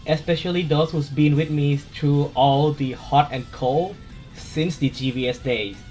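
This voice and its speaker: A man with a Thai accent, hosting his gaming channel in a casual voice-over chat, soft-spoken and a little hesitant. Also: on the high side, steady tone, a little slow, faint music behind.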